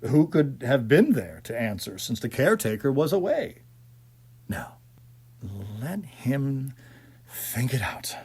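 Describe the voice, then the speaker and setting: A man's voice: medium pitch, big pitch swings, slow, a little mellow, slightly rough. A man narrating a suspense story in a dramatic, theatrical manner with hesitant phrasing. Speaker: audiobook narrator.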